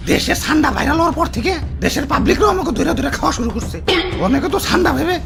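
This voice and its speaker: A woman's voice: high-pitched, expressive, very fast, vocal fry. A woman playing a cheeky, gossipy minister to a cartoon lizard boss, teasing and eager, with a Bangladeshi accent. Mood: teasing, alarming. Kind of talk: comic cartoon acting. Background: background music.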